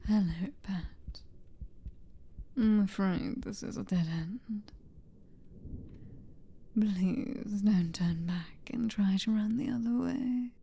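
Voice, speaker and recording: Girl doing a british vampire mistress for asmr, breathy whisper, super slow, cruel n possessive. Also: medium pitch, warm tone, heavily acted. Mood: menacing, coaxing. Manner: slow, drawn-out, taunting endearments. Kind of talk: ASMR roleplay, whispered close to the listener.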